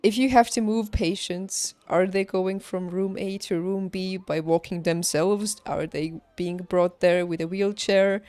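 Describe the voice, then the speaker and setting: A young adult woman chatting casually on a livestream, telling personal stories in an emphatic, animated and informal way. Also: medium pitch, measured pace, few pauses, dry recording. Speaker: livestreamer, former nurse. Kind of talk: casual stream chat, personal storytelling. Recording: background music behind close dry voice. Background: music.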